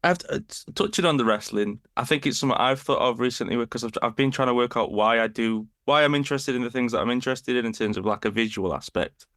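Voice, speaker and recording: A man's voice: mid-range voice, fast, fairly bright tone, dry recording, studio quality. A man hosting a podcast, asking chatty, casual questions. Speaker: podcast host.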